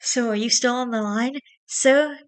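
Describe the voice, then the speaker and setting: A woman voicing a calm but urgent emergency operator, brisk and questioning, in a story read aloud. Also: a little high, voice pushed up, close to an everyday voice. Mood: urgent, steady.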